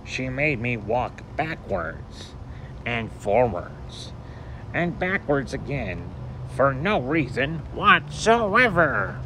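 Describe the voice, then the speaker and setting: A male storyteller reads a children's picture book with slow, deliberate phrasing. His pitch moves in lively, theatrical swings. Steady noise sits behind his voice. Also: rough recording. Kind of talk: expressive picture-book read-aloud for children.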